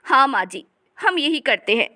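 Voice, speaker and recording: Woman acting out a high-pitched, fretful young daughter-in-law. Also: big pitch swings, moderate pace, pushed and bright, full character voice. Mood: agreeable. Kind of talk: acted dialogue in a Hindi family comedy story.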